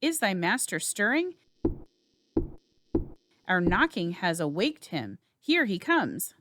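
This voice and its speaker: A woman's voice: mid-range voice, very animated, slow pace, a bit bright, faint music behind. A woman playing a loyal, courteous nobleman in a theatrical, declamatory Shakespeare reading. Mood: courteous, teasing. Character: earnest Scottish nobleman, loyal and courteous, passionate.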